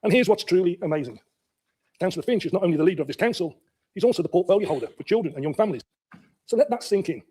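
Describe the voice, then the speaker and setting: A man's voice: high-pitched, animated, very fast, warm tone, breathy edge. A man delivering a prepared political speech as a councillor, emphatic and critical. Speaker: local councillor.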